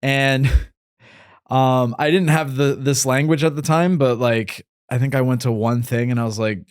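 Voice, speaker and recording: A man's voice: medium pitch, steady tone, unhurried, no echo, studio quality. Laid-back New York man hosting. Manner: laid-back, rambling, self-mocking storyteller. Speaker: podcast host. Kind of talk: casual podcast chat.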